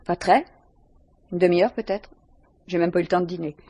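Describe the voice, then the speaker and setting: Defensive young lodger, radio mystery acting, husky, indignant and haughty, formal diction, dry close sound. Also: female voice, on the low side, steady tone, a little fast, warm tone, no room sound. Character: female student lodger, defensive, prickly, indignant suspect.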